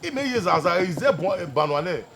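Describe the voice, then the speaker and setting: A hot-tempered Nigerian husband in a screen drama. His voice is high for a man and swings widely as he hammers his points by repeating them. Faint noise sits behind the location recording. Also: sing-song, fairly quick, fairly bright tone, heavily acted. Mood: disgusted, venting. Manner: emphatic, repeats himself, mixes English with local language. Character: hot-tempered husband venting to his friend about women.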